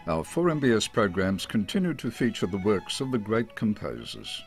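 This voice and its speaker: An Australian man reading a scripted promotional narration in a measured, formal announcer's manner. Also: fairly low pitch. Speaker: radio station presenter. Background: music.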